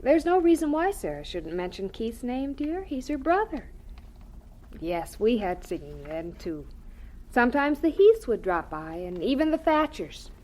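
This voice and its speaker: A woman playing a gentle, devout mother in a radio drama, speaking in a tender, soothing, earnest way. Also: on the high side, sing-song, measured pace. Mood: gentle, reassuring.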